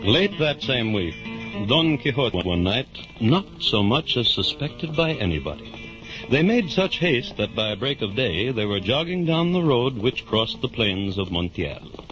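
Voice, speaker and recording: A man's voice: mid-range voice, sing-song, measured pace. A man narrating a vintage radio drama in a scripted storytelling style. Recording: muffled old radio, loud music behind.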